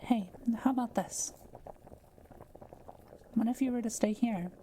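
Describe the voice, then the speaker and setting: A woman playing a kind, curious forest witch in an intimate audio roleplay, speaking gently and thoughtfully with polite, measured phrasing. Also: on the high side, slight background noise, natural voice, poor audio. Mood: warm, thoughtful. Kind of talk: intimate scripted audio roleplay addressed to the listener.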